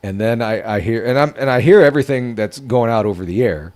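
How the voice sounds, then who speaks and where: A man telling an emotional personal story in casual podcast talk, halting and earnest. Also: mid-range voice, a little mellow. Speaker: podcast co-host.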